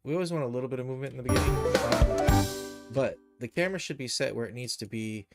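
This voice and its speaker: A man hosting a casual, unscripted software tutorial, thinking aloud as he explains each step. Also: mid-range voice, quick pace, over loud music. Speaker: live stream tutorial host, game and cartoon developer.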